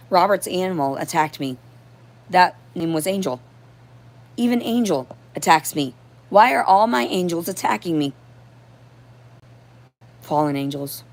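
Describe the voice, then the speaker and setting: A woman with a hoarse voice giving a rambling, unscripted livestream monologue in a confrontational, profane and disjointed manner. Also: sits low, darkish tone. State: hoarse throat. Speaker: livestream host.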